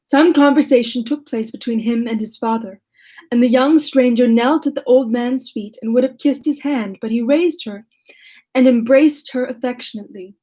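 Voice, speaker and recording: A woman reading aloud as a lonely male creature who tells his own story in a measured, formal way. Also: fairly high pitch, dark tone, husky, rough recording. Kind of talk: reading a classic novel aloud.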